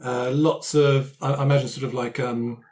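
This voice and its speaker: Male player, average pitch, casual off-the-cuff delivery in a tabletop game. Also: flat delivery, moderate pace, darkish tone. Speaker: tabletop role-playing player. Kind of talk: casual improvised chat.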